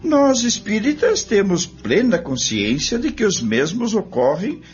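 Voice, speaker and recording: A man with a Brazilian accent playing an earnest, courteous spiritist group leader in a radio drama, formal and deliberate. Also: high-pitched, huge pitch swings, exaggerated voice. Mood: solemn, approving. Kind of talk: amateur radio drama acting. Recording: dull lo-fi, music behind.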